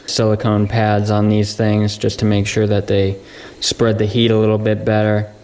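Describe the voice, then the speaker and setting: A man's voice: on the low side, normal speed, clean tone. A man hosting a DIY electronics video, explaining his project casually and informally, as if thinking aloud. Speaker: host of a DIY electronics channel. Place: indoor electronics workbench. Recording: faint steady background noise.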